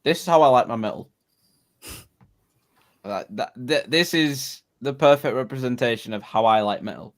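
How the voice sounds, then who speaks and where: A man's voice: medium pitch, normal speed, pauses often. A man co-hosting a music review podcast, chatting casually, swearing and rambling until he loses his train of thought. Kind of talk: casual chat.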